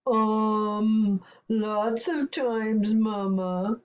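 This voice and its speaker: An enormous storybook giant with a bully's bluster, put on by a woman. The words come slowly and haltingly, with lively rises and falls. The recording is a bit dull. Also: sits high, voice pushed up, full character voice. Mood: sheepish. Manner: blustering, stammering. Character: enormous bullying giant, cowed by his mama.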